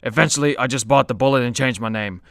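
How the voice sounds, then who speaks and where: A man's voice: medium pitch, fast talker, vocal fry, no room sound, lightly acted. Man acting a grumpy hard-drinking ancient demigod. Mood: grumbling. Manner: griping, grudging, dry. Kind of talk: superhero comedy radio play acting.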